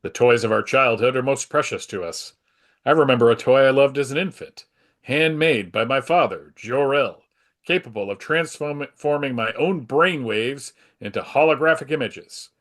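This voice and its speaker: A man's voice: mid-range voice, moderate pace, a bit bright, lightly acted. A man playing an earnest, self-assured superhero in a live dramatic comic book reading. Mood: earnest, nostalgic. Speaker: performer in a dramatic comic book table read.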